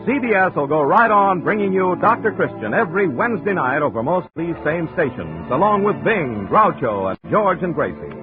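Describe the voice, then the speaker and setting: A man giving a brisk promotional network station announcement. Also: on the high side, sing-song. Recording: tinny old radio broadcast with music.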